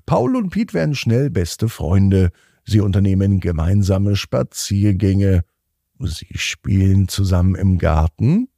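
A man tells a bedtime story to children in a slow, low voice. His sound is mellow and a little husky. He is gentle and expressive, stretching key words. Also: dry recording, studio quality. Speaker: podcast host and storyteller.

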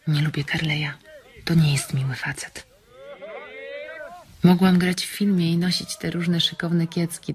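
Husky, lonely young farm wife. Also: female voice, deep voice, a little mellow. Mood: wistful confiding. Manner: colloquial, coaxing, self-pitying. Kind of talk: radio drama acting.

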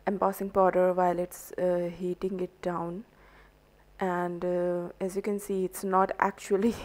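A woman with an Indian English accent, a native Hindi speaker, narrating an art journaling tutorial in a halting way, full of ums and uhs. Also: lowish voice, darkish tone. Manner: slow, hesitant, many ums and uhs. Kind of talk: crafting tutorial voice-over.

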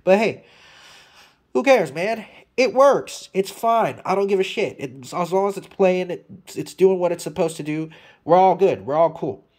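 A man's voice: sits high, expressive, moderate pace, darkish tone. A man talking casually and off the cuff as a hobbyist repairer, rambling and blunt, swearing freely. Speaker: hobbyist radio repairer and video maker. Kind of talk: casual, unscripted repair update.

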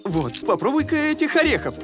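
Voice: high voice, big pitch swings, a little fast. A performer playing a kind, friendly young male jungle animal in a children's cartoon. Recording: music behind the voice.

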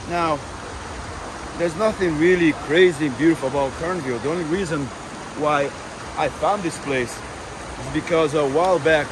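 A man narrating a travel vlog in a casual, rambling, informal way. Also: a little high. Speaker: travel vlogger. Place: outdoors at roadside scenic stops. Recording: windy, noisy outdoor recording.

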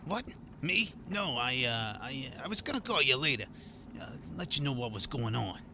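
An actor playing a nervous, shifty streetwise informant on the run in a radio drama. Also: male voice, medium pitch, big pitch swings, normal speed, background noise. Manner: jittery, streetwise. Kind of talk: radio drama monologue and dialogue.

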